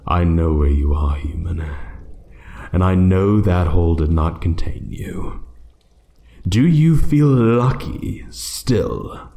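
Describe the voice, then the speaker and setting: A man's voice: low voice, dark tone, full character voice. A man playing an ancient, menacing dragon in an ASMR roleplay, taunting and condescending, at times dropping into a whisper. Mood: cruel amusement. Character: ancient menacing male dragon toying with a thief. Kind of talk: one-sided ASMR roleplay acting.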